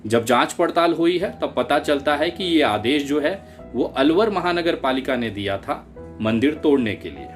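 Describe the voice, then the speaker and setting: Emphatic man with swooping pitch over music. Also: lively intonation, normal speed. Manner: emphatic, rhetorical, indignant. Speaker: political commentator. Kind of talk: opinion commentary to viewers. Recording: music bed under the voice. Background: background music.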